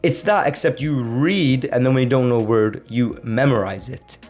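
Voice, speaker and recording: A man's voice: mid-range voice, normal speed, darkish tone, soft background music. Chatty fifteen-year-old boy vlogger. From Sweden. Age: teenager, fifteen. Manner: rambling and conversational, full of "like" and "do you know what I mean". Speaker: language-learning YouTuber. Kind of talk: casual talking-head vlog.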